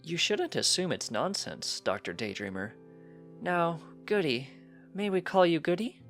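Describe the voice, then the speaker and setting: Narrator doing a high, gracious pony princess. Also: big pitch swings, fairly bright tone, faint music behind. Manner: formal, encouraging, measured. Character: gracious, gentle grown pony princess of love.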